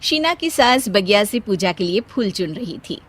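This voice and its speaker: A woman's voice: medium pitch, big pitch swings, normal speed, breathy edge. A woman narrating a scripted family story as the storyteller.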